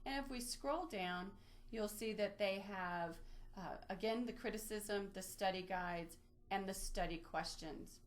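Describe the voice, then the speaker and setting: Woman doing a webinar walkthrough, mid pitched voice, explains stuff step by step like a tutorial. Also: a little slow. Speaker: webinar presenter from a library. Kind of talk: instructional screen-share walkthrough.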